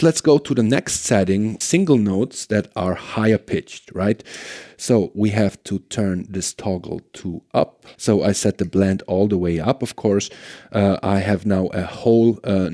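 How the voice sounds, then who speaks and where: A man's voice: fairly low pitch, warm tone. A man presenting a gear review in a casual, conversational way, thinking aloud as he compares things. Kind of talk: casual product demo commentary.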